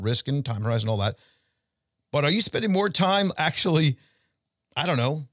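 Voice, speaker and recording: A man's voice: fairly high pitch, dry recording. Emphatic man talking money, dry close sound. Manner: emphatic, rhetorical questions, deliberate pauses. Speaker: financial show host and portfolio manager. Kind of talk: conversational investment commentary addressed to listeners.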